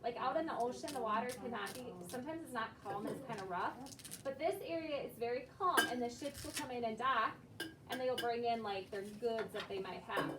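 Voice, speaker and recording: A high-pitched American woman teaches a class of children with simple explanations and questions. She sounds distant from the microphone in an echoey room, with noise around her. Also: brisk, a crowd in the background. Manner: simple explanations with prompting questions and brief praise. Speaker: elementary school teacher.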